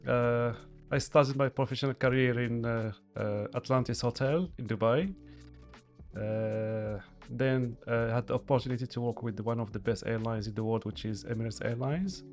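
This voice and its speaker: A man in his thirties with a Moroccan accent talks calmly as a podcast guest in a small studio. His mid-pitched voice has a gravelly edge, and he pauses often to gather his thoughts. Faint music plays underneath. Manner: calm, measured, many hesitations. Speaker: podcast guest, hotel duty manager. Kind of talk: casual interview chat. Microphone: studio mic on boom arm, headphones.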